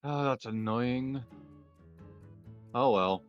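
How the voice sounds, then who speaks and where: A man talks slowly and with understatement, casually working through his turns as a player in a roleplay card game. The recording is dull and lo-fi, with faint music behind. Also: mid-range voice. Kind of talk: casual gameplay talk in a roleplay duel.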